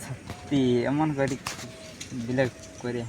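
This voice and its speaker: A man's voice: mid-range voice, flat delivery, slow pace, background noise. A man chatting casually as a prank-call host. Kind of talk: casual chat to viewers and on a phone prank.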